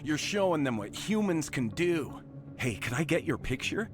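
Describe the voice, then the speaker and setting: Gushing superfan: highish male voice, very animated, excitable game dialogue over music. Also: normal speed. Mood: awestruck. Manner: excitable, admiring. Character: gushing, starstruck male fan of a war hero. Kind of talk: video game dialogue acting.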